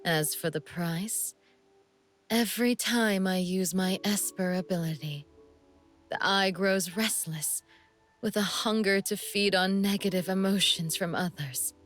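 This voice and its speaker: A woman playing a sly, menacing young female assassin in a video game, speaking in a teasing, deliberate manner. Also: mid-range voice, a bit bright, soft background music, close to an everyday voice. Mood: teasing menace. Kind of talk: scripted game character monologue.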